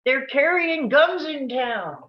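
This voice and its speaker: A woman playing an indignant, stubborn Old West marshal in a table read, with emphatic drawn-out delivery on a dull recording. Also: sits high, expressive. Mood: alarmed, insistent. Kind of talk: live screenplay table read.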